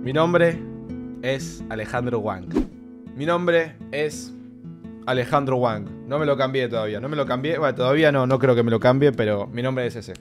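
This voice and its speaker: Chatty young Argentine streamer. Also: male voice, medium pitch, moderate pace. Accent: Argentine Rioplatense Spanish. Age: 20s. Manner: chatty, jokey, reacting to his old clips. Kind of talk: casual livestream chat. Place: small bedroom streaming setup with blue lights. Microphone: boom mic close to his face. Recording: clean streaming mic with faint background music. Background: soft background music.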